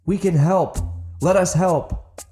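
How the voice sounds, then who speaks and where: A man's voice: high-pitched, takes it slowly, dark tone, faint music behind, voice pushed up. A man playing a desperate, pleading young man in a fantasy audiobook.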